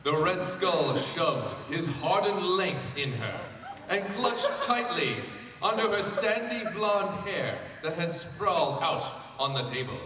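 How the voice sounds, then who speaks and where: A man reading fan fiction aloud to a panel at a fan convention. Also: high voice. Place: large convention panel room. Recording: echoey hall, distant mic.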